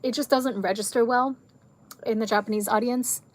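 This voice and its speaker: A woman chatting to camera in a rambling, tangent-filled way, full of fillers, her voice going hoarse. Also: a little high, fairly even intonation, normal speed. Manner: frequent fillers like "like" and "um". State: losing her voice. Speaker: video creator reviewing a film. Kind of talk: casual chat to camera.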